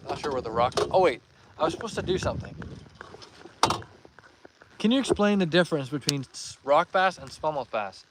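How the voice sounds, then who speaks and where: A man's voice: on the high side, animated, brisk, pauses often, very noisy. Easygoing young man hosting a fishing stream. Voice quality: relaxing voice. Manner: upbeat shout-outs to viewers, easygoing. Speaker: fishing livestream host. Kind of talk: casual live-stream chat, reading comments aloud. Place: outdoors by the water, fishing.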